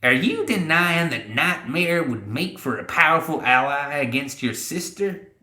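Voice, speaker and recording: A man voicing a stern, scheming old witch woman in a fantasy audiobook, with a portentous, drawn-out delivery. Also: fairly high pitch, big pitch swings, pushed and bright, reverberant, slight background noise, voice pushed up, heavily acted. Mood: grave, calculating.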